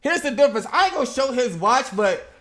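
A man hosting a livestream, excitable and laughing, repeating himself as he banters. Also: very high voice, quick pace. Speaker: livestream host.

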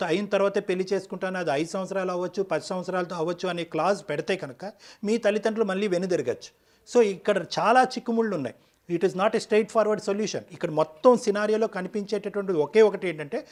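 A man's voice: high-pitched, a little mellow. A man counseling in a firm, didactic way as an advice show counselor, dropping English phrases into his speech. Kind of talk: advice-giving counseling talk.

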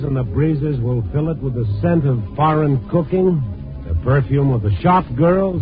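An actor playing a hard-boiled, poetic newspaper reporter who narrates a 1950s radio drama in a terse, brooding way. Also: male voice, medium pitch, lightly acted. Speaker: narrator and lead of a radio series. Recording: muffled old radio broadcast.

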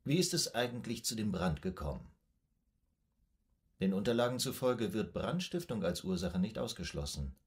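Radio drama: male analyst, lowish voice, formal, composed, methodically probing. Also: lively intonation. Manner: formal, measured, clinical. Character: composed, probing male psychoanalyst questioning a patient. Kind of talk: German radio drama acting.

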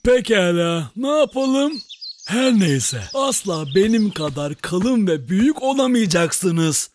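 Slow, swooping cartoon bear. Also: female voice, medium pitch, very animated, darkish tone, slight background noise. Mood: grumbling, moralizing. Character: big slow boastful bear in a folk tale. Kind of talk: cartoon dub.

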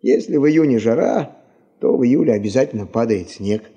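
A solicitous expedition aide in a seventies Soviet radio drama. His voice is very mellow and husky, of medium height, fussy and deferential. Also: medium pitch, expressive, fairly quick, lo-fi sound. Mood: eager, deferential. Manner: solicitous, practical. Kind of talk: 1970s Soviet radio play acting.

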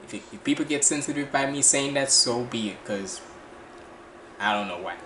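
Fast-talking Jamaican man, echoey room. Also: medium pitch, slight background noise. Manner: fast, animated, slangy chatter with frequent restarts. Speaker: reaction channel host. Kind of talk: casual music reaction commentary. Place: small bedroom indoors. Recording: echoey room with music playing.

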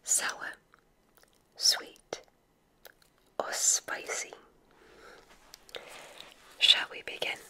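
A woman playing an encouraging witch examiner at a magic school in an ASMR roleplay, speaking in a soothing, breathy whisper with deliberate pauses. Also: heavily acted. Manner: slow, soothing, gently enthusiastic, deliberate pauses.

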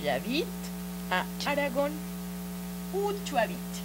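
Middle-aged Mexican woman as a patient TV French teacher, slightly high voice, studio hum behind. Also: wildly swooping pitch. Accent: Mexican Spanish. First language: Spanish. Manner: patient, repeats phrases slowly and encouragingly. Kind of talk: slow drilled language lesson. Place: small TV studio set. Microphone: handheld mic. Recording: studio recording with audible mains hum. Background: mains hum.

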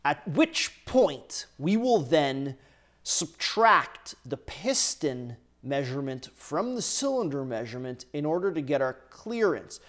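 A man's voice: fairly high pitch, big pitch swings, a little slow, a bit bright. A man hosting a workshop how-to, casually explaining engine measurements while handling parts at his bench. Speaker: workshop host. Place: indoor workshop bench, overhead camera.

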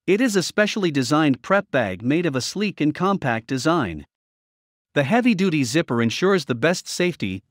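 Lively, dry-sounding male voice-over narrator. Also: a little high, no echo. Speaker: product review narrator.

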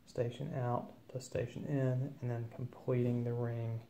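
A man giving an informal, matter-of-fact instructional walkthrough of equipment, with hesitant pauses. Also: mid-range voice, level tone, warm tone, a little hiss or hum. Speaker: presenter of an equipment demo. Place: indoors at a wooden table at home.

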